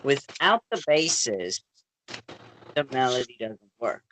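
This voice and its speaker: A man's voice: mid-range voice, very animated, takes it slowly, bright, forceful tone, slight background noise, poor audio. A young man co-hosting a casual podcast, answering with dry, teasing quips. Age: young adult. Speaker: podcast co-host. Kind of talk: casual chat.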